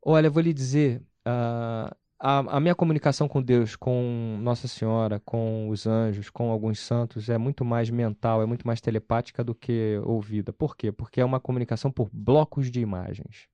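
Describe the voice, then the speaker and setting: A man with a Brazilian accent from Rio speaks conversationally at a medium pitch, in a close, dry recording. He explains his personal convictions emphatically, often posing questions and then answering them himself. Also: steady tone, moderate pace, few pauses, warm tone, smooth, no room sound, highs cut off. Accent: Brazilian, Carioca. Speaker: interview guest. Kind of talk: conversational testimony about personal faith.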